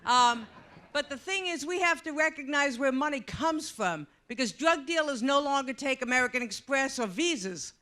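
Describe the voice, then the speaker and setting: Loud, high, bright woman in the audience. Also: expressive, moderate pace. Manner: loud, talks without a mic. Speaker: audience member asking a question. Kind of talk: off-the-cuff remark from the floor.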